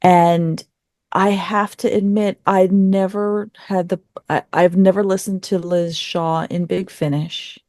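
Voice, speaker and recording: A woman's voice: lowish voice, fairly even intonation, slow pace, dark tone, no room sound, studio quality. A woman giving casual opinions as a podcast panelist, rambling and often interrupting herself. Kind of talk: casual review discussion.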